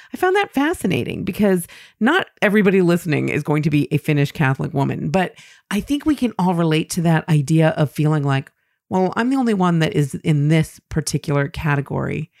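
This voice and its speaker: A woman hosting a podcast, chatting warmly with guests and reading listener mail aloud. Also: deep voice, creaky, no echo, clean studio sound. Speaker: podcast host. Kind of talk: casual podcast talk.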